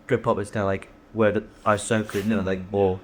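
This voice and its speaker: A man's voice: lowish voice, level tone, fairly quick. A young man from Hong Kong, a drummer, chatting casually in an interview. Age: young adult. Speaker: band drummer. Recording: ambient room noise behind.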